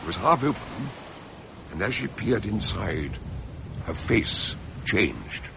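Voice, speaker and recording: An actor playing a mild, cautious doctor who recounts a shipboard mystery in a radio drama, with a measured, hesitant manner. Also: male voice, on the low side, sing-song, vocal fry, noisy background, heavily acted. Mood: tense recounting. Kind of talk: radio drama narration and dialogue.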